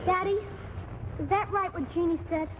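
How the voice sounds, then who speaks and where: A woman playing an excitable, eager little boy in a 1940s radio comedy. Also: extremely high pitch, moderate pace. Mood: curious, earnest. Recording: tinny noisy 1940s radio recording.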